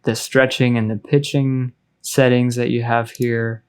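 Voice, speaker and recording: A man narrating a casual software tutorial as a music production tutor, informal and explanatory in manner. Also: mid-range voice, little pitch movement, measured pace, smooth.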